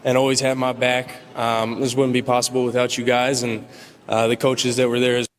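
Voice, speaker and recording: A young man speaks humbly and haltingly, pausing on fillers, in a flat, even tone. His voice carries a long reverb from the large awards hall around him. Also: medium pitch. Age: young adult. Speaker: award winner giving a speech. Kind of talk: acceptance speech.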